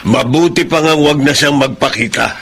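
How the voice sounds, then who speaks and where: An actor playing a stern older father with a gravelly voice in a Filipino radio drama. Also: a little high, normal speed, a bit bright, slight background noise. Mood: angry. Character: stern older father, hot-tempered, later repentant. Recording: music bed behind.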